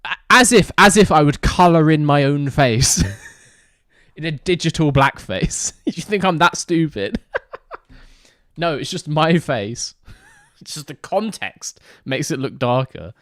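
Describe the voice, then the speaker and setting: A man's voice: on the high side, expressive, a little slow, a bit bright, no room sound, clean studio sound. A young man streaming a racing game, chatting casually and joking with his chat as he reads it. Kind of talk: casual gaming livestream chat.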